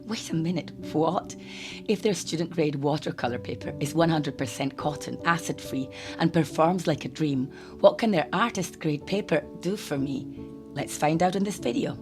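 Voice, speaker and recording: A woman hosting a watercolour channel, talking in a hoarse, croaky voice from a cold as she narrates a chatty tutorial voice-over. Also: deep voice, normal speed. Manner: chatty, explanatory, lightly humorous. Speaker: art channel host. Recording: voice-over with guitar music behind.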